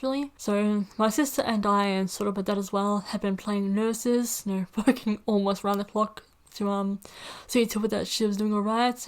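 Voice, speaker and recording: A woman who is a native English speaker, chatting casually as a reaction video host in a rambling, hesitant way, often repeating herself, into a USB desktop microphone. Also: medium pitch. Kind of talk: casual chat to camera.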